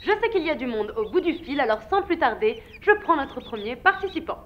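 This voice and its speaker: An actress playing a theatrical host of a radio game show. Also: female voice, sits high, big pitch swings, a little fast, dull audio, a little hiss or hum. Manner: bright, theatrical presenter delivery.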